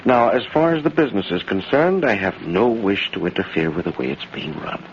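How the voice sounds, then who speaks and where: An American actor playing a breezy, self-satisfied blackmailing impostor in an old-time radio thriller. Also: male voice, lowish voice, lively intonation, fairly quick. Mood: breezy, demanding. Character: smug, jovial male blackmailing impostor, greedy and breezy. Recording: noisy, muffled vintage radio broadcast.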